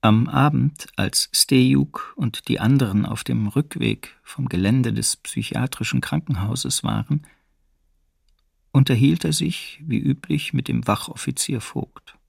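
An actor playing a grave male prisoner, telling his account deliberately with pauses in a documentary radio play. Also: mid-range voice, vocal fry, breathy edge, no echo, clean studio sound, heavily acted. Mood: tense, deliberate. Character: grave male prisoner recounting an escape plan. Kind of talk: slow dramatic narration of a testimony.

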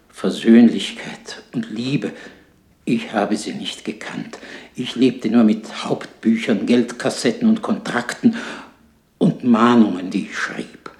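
An actor playing the tormented ghost of a dead miser in a 1960s German radio drama, solemn and lamenting, half-whispering at times. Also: male voice, lowish voice, darkish tone. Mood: remorseful, anguished. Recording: echoey 1960s radio play recording.

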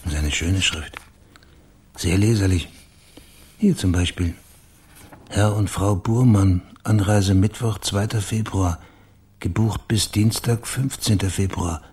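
An actor in a German radio crime drama playing a polite, persistent investigator with a matter-of-fact way of asking questions. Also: male voice, sits low, slow, long pauses, a little mellow, breathy edge, full character voice. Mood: admiring, deliberate. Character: male investigator posing as biographer, polite, probing.

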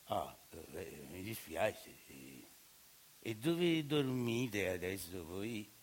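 An actor playing a kindly schoolteacher who speaks slowly and calmly. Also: male voice, on the low side, slight background noise, voice pushed down, exaggerated voice. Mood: measured. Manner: slow, measured, kindly. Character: calm male schoolteacher.

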